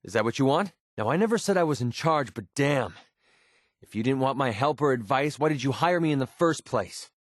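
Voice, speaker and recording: An actor playing a cynical young mercenary sniper in a video game, with an American accent and a casual, sarcastic, streetwise way of talking. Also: male voice, medium pitch, expressive, fairly quick, dry recording, studio quality, natural voice. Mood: confrontational. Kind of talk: video game character dialogue.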